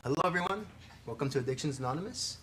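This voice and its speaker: A man plays a support group leader who talks in an earnest, careful way. His pitch is middling, and faint noise sits behind him. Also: animated, very fast, a bit bright. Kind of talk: live improv sketch.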